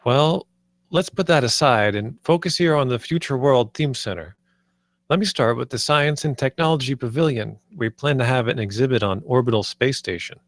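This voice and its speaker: A man's voice: medium pitch, normal speed, dry recording, studio quality, natural voice. A man at a script table read, playing a polite, earnest theme park project leader. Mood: polite, presenting.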